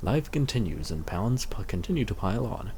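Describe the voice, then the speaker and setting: A man's voice: on the low side, very animated, fairly quick, warm tone, slight background noise. A man giving reaction commentary on a comic, with mock-dramatic asides and rhetorical questions.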